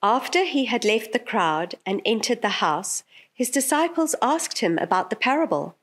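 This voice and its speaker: A middle-aged woman giving an expressive, deliberate Bible reading with emphatic phrasing. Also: a little high. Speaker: pastoral carer, Bible reader. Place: indoor chapel at a lectern. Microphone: gooseneck lectern microphone.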